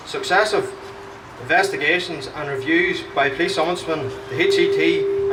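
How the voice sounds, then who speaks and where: A young man reads out a prepared statement in a measured, grave tone, his voice sitting slightly high. He is captured from a distance, with clear background noise from the surroundings. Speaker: victim's relative speaking.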